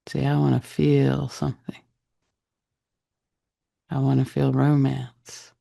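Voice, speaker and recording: A man leading a guided meditation in a soft, breathy, husky voice, speaking deliberately in short phrases with long pauses. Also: medium pitch, completely flat delivery. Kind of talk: guided meditation, slow soothing narration.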